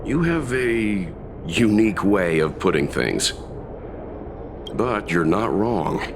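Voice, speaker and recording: A male actor playing a polite, reflective traveler with gentle dry humor in a video game. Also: on the low side, big pitch swings, slow, background noise, exaggerated voice. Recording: music behind the voice.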